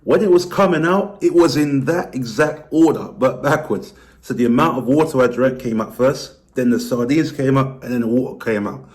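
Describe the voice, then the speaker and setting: A man with a hoarse, worn-out voice, talking candidly to camera in a casual vlog and explaining things. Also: moderate pace, few pauses. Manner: candid, explanatory, lively pitch rises. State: hoarse, lost voice. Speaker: vlogger.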